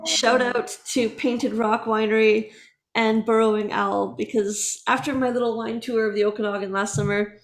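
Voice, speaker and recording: A woman's voice: fairly high pitch, flat delivery, moderate pace, low-quality recording. A woman hosting a casual interview for a music organization, upbeat and chatty, laughing between questions. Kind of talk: casual video-call interview.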